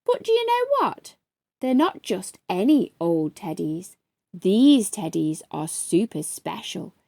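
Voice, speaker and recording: Woman playing a kindly storybook dad, unhurried. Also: mid-range voice, big pitch swings, gravelly, dry recording, studio quality, exaggerated voice. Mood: reassuring. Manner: gentle, patient explaining. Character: kindly, reassuring father of a young boy.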